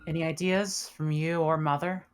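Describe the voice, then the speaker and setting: A woman's voice: low-pitched, steady tone, moderate pace, natural voice. A woman reading a resolute spaceship officer in a sci-fi table read, terse and commanding.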